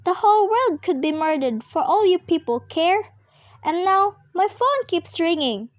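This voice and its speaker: A high, dry-sounding voice of a nervous invalid wife, acted by a woman in an amateur radio drama. She is demanding and self-pitying, complaining in a fretful, imperious manner. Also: animated, a little slow, no echo, muffled recording. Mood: exasperated. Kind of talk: amateur school radio play acting.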